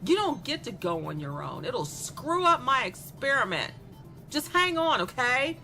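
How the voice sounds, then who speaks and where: A woman's voice: high-pitched, sing-song, a little slow, sharp tone, soft background music, full character voice. A woman playing a cruel, self-absorbed young female killer in a darkly comic audio drama, speaking in a mocking, sardonic, conversational way. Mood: panicked, angry. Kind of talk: dramatic monologue in an audio horror comedy.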